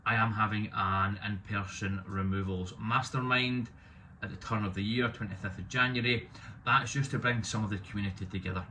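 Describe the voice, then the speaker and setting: A man in his thirties, loud and opinionated with a self-deprecating streak, talking casually to camera about his business. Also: lowish voice, steady tone, moderate pace, a little hiss or hum. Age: 30s. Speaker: business owner vlogging.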